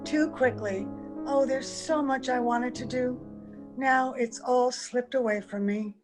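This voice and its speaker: A woman playing a wistful, secretive gentlewoman in old-time radio drama style, earnest and gentle with a melodramatic touch. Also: sits high, a little slow, over music. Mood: wistful, anguished. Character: wistful, secretive mother posing as a young visitor. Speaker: actor in an amateur radio play. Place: at home, recorded over video call.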